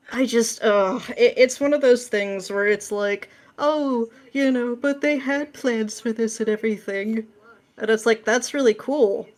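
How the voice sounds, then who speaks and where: A woman guesting on a podcast, ranting casually with exasperated sarcasm and dramatic, mimicking emphasis. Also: a little high.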